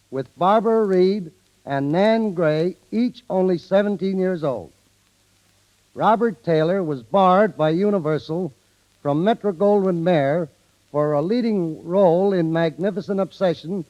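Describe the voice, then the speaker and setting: Male guest speaker, notably high-pitched, formal scripted address, muffled vintage 1930s radio sound. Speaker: film studio guest speaker. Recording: dull 1930s radio broadcast recording.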